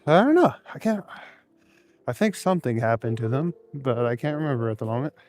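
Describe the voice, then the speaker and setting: A man's voice: mid-range voice, very animated, fairly quick, darkish tone. A man live streaming while out on cold city streets, reading chat aloud in an easygoing, chuckling, rambling way. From Canada.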